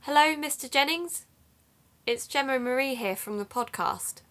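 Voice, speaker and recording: A woman's voice: high voice, moderate pace, a bit bright. An actress in an audio drama playing an earnest, matter-of-fact woman who hosts an investigative podcast. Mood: businesslike.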